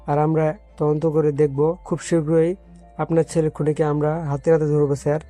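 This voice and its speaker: A man's voice: a little high, little pitch movement, normal speed, dark tone, soft background music, natural voice. A man playing a firm, no-nonsense police officer in a dramatized audio story. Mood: firm, reassuring.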